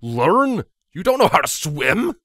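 A man's voice: on the high side, very animated, a little slow, fairly bright tone, no echo, clean studio sound, voice pushed up, exaggerated voice. A man voicing a jovial, blunt male pony captain who banters with friends in a story reading. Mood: incredulous. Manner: hearty, bantering.

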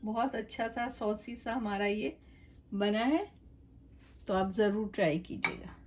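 A woman's voice: medium pitch, measured pace, a little mellow. A middle-aged woman hosting a cooking channel, talking viewers through a recipe step by step in a chatty, direct way from her home kitchen.

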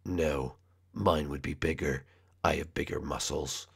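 A cool, menacing cartoon hedgehog, acted by a man in a very low, gravelly register. He is surly and boastful, speaking in curt threats. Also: steady tone, unhurried, heavily acted.

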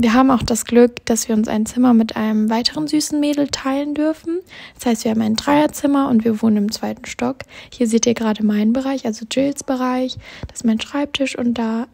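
Student vlog voice-over: a young woman, mellow and a bit raspy, fairly high, chatty and enthusiastic. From southwestern Germany. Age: 20s. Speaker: student ambassador vlogger. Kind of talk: voice-over narration.

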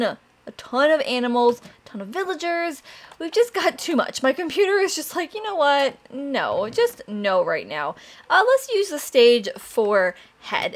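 A young woman hosting a Minecraft let's play, chatting excitedly to viewers while her voice is raspy from allergies and she keeps clearing her throat. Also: a little high. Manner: chatty, excitable, addresses "you guys" often. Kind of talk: casual gameplay commentary.

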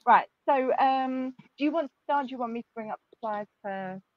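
A woman's voice: sits high, a little fast, no room sound, highs cut off. A young woman hosting a livestream from home, chatty and apologetic, laughing often as she talks into a desk mic. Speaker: livestream host. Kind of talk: casual livestream chat. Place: home room with shelves. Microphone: desk microphone on a stand.

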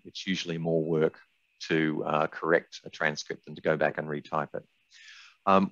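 A man's voice: deep voice, fairly bright tone. An older man gives an explanatory webinar presentation in an informal, candid way. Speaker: software company presenter. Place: indoors, small room on video call. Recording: slightly dull, roomy webinar audio.

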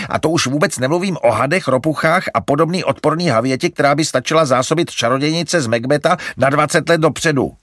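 Man doing a loud, ranting grumpy brother. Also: a little high, dry recording, clean studio sound, pitched above the performer's own voice.

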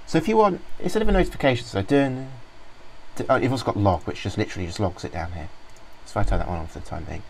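A man casually talking through a software demo, rambling with many fillers and restarts. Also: lowish voice, racing, a little hiss or hum, rough recording. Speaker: software reviewer. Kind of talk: informal screen-recorded walkthrough.

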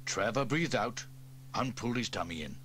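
A man narrating a children's audio story in an expressive, animated read-aloud manner. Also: lowish voice, normal speed, slight background noise.

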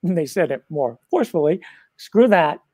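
A man's voice: on the high side, sing-song, normal speed, dark tone, slightly rough, no room sound. Earnest middle-aged man, dry sound. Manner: earnest, emphatic. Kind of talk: political discussion. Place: home room with bookshelves. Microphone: video call with earbuds.

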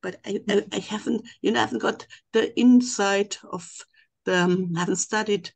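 Gravelly older woman with a German accent. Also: medium pitch, fairly even intonation, normal speed. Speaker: webinar participant. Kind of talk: rambling personal account. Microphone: video call.

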